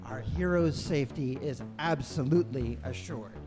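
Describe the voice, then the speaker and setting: A man playing the droll, unflappable narrator of a live comic space radio play, measured and matter-of-fact. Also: medium pitch, natural voice. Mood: wry. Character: droll, unflappable omniscient storyteller of a space comedy. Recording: live hall with music behind.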